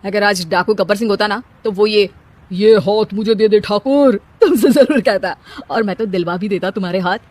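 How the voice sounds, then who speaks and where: A woman's voice: mid-range voice, moderate pace, darkish tone, no echo, close to an everyday voice. A woman voicing a teasing young husband in a cartoon story, sarcastic and exasperated.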